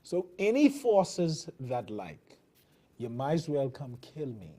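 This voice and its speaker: A man's voice: medium pitch, sing-song, slow, mellow. A man from the Bahamas with a Bahamian accent, hosting a TV commentary show and delivering fiery, emphatic political commentary in a preaching cadence. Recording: television broadcast.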